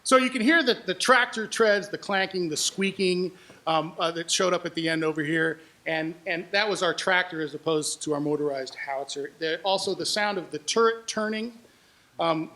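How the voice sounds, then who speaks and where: A man with a fairly high voice gives an informal live presentation as an audio producer. He tells production stories in a conversational way. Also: sharp tone. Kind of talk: informal storytelling to an audience.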